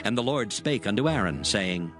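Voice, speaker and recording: A man narrating scripture from a script in a measured, solemn manner. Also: on the low side, lively intonation. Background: music.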